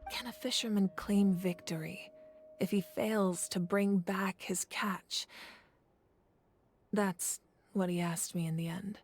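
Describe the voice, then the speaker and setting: An actress playing a composed, proud warrior woman in a video game, recounting tales in a measured, thoughtful way. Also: mid-range voice, steady tone, slightly rough, faint music behind. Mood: reflective. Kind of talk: video game story dialogue.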